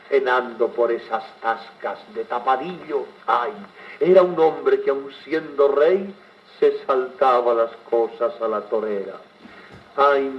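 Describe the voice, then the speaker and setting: A man's voice: medium pitch, muffled recording, natural voice. Man reciting as a spirited Spanish princess, crackly old record. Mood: wistful. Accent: Spanish, from Spain. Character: spirited, wistful Spanish royal princess, theatrically grand.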